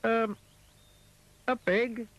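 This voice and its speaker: A man voicing a simple, cheerful little storybook man in a high, eager voice. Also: fairly even intonation, very slow, a bit bright, muffled recording, exaggerated voice. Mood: wistful.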